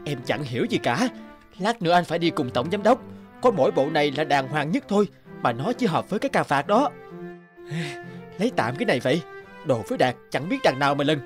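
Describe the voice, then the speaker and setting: Male voice, grumpy cartoon husband, curt, dismissive, clear music behind. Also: fairly high pitch, very animated, brisk. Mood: irritated. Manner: curt, grumbling. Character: irritable, dismissive busy husband. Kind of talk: animated cartoon dialogue. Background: background music.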